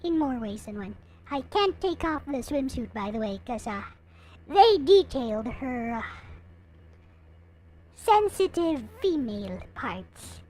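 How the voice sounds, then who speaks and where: A woman giving a casual, unscripted show-and-tell as a vlogger, in a halting way as she thinks aloud. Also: high voice, sing-song, a little mellow. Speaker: vlogger showing collectible figures.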